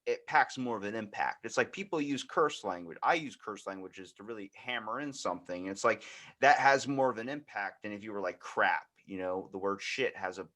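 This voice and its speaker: A man with a fairly low-pitched voice hosts a casual podcast. He talks informally and at length, wandering through his thoughts in loose, chatty phrases. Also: animated, normal speed, pushed and bright. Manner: rambling, informal. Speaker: podcast host. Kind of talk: casual chat.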